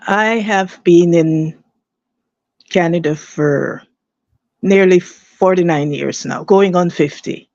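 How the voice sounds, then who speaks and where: Slow earnest woman, lo-fi. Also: fairly low pitch, darkish tone, dry recording. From Guyana. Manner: earnest, peppered with "you know" and "eh". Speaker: guest. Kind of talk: conversational storytelling.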